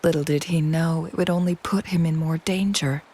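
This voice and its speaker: An actress voicing a composed, knowledgeable noble lady in a video game, with measured, formal and poised delivery. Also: low voice, fairly even intonation, dark tone, a little hiss or hum, close to an everyday voice. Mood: composed, grave.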